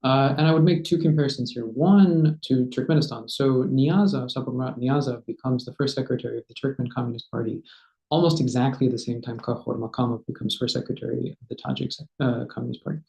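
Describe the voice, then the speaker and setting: Historian, thirties, male: measured lecturer, mellow voice, dull roomy webcam sound. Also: mid-range voice, steady tone, creaky. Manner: measured lecturing with frequent "uh" and "right?". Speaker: historian, guest speaker. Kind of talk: academic talk answering a question. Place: home study with bookshelves. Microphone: laptop webcam on video call. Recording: dull, roomy video-call audio.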